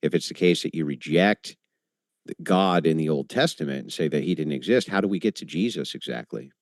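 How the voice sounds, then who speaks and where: A man's voice: very deep voice, lively intonation, fairly quick, dry recording, studio quality. A man in a debate, pressing his opponent with pointed follow-up questions. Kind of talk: live debate.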